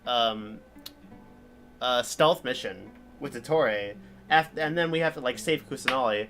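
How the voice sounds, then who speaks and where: A man livestreaming a game, chatting casually in an informal, halting, rambling way. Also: mid-range voice. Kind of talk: casual chat while gaming. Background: game music.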